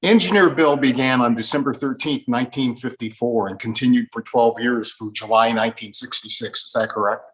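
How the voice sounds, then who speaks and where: A man's voice: medium pitch, brisk, few pauses, poor audio. A man presenting an interview in prepared, orderly sentences.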